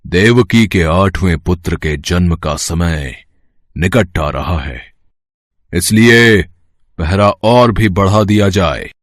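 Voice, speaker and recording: A man's voice: low-pitched, lively intonation, takes it slowly, dry recording, studio quality. A man playing a cruel tyrant king in a mythological story, commanding and menacing. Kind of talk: Hindi mythological audio story acting.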